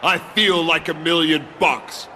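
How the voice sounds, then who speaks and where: A man playing an arrogant, menacing wrestling champion in a video game, cocky, boastful and deliberate. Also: a little high, steady tone, background noise, close to an everyday voice.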